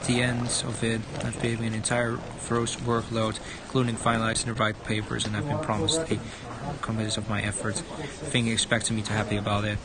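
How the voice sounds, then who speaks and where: A man's voice: on the low side, fairly even intonation, brisk, over a noisy crowd. A man livestreaming a visual novel, reading a horror story aloud as dramatic narration and stumbling over words now and then. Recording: loud music over the voice. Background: loud music.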